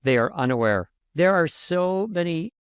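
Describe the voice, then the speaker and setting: Man guest on a podcast, coach type, jokey and animated, voice jumps up on big points, dry sound. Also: moderate pace, clean tone, dry recording. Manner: animated and joking, big pitch jumps for emphasis. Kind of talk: conversational interview.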